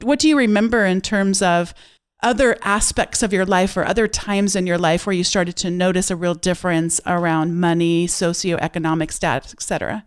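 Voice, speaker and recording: A woman's voice: lowish voice, steady tone, normal speed, smooth. A woman hosting a podcast interview, speaking in a friendly, conversational way. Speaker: podcast host.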